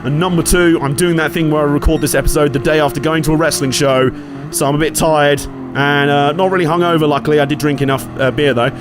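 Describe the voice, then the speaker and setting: A man with a somewhat high voice, a bit hoarse from a cold, chatting through a racing game. He talks loosely, joking and swearing as he reacts to each corner. Game music plays clearly underneath. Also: fairly even intonation, quick pace. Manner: chatty, jokey, sweary, reacting aloud to the race. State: slightly hoarse, coming down with a cold. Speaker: gaming channel host. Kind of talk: casual racing game commentary.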